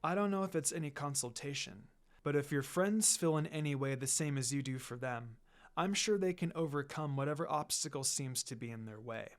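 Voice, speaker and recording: A man voicing a gentle, soft-spoken teenage boy in a story, calm, polite and measured. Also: a little high, voice pushed down, natural voice. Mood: gently encouraging. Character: gentle, pretty teenage boy, mistaken for a girl.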